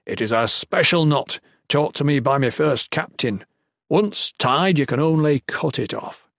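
Audiobook role: gruff old sailor priest, played by a male narrator, uneducated, bossy, folksy, in a dry studio sound. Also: mid-range voice, normal speed, no echo, close to an everyday voice. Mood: proud, grumbling. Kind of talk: audiobook character voice.

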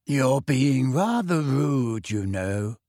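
A man voicing a dreamy teenage girl witch who speaks serenely and softly. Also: fairly high pitch, very animated, slow, slightly rough, dry recording, studio quality, full character voice. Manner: serene, soft, detached.

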